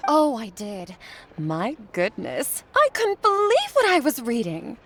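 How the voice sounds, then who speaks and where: A woman's voice: fairly high pitch, wildly swooping pitch, slow pace, a little hiss or hum, exaggerated voice. A woman playing a prim, gossipy society wife in a video game, with an affected manner. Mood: scandalized.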